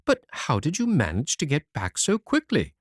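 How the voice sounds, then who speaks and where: A man's voice: on the high side, huge pitch swings, normal speed, no echo, studio quality, voice pushed up. A man voicing an amused, doubting mother in a children's storybook, with emphatic exclamations. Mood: amazed. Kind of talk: storybook character voice.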